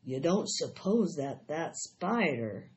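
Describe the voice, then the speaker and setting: A woman voicing an earnest, shaken farmer husband from a storybook, grave, halting and folksy. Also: sits low, very animated, a little mellow, dull audio, pitched below the performer's own voice, heavily acted. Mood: shaken, solemn.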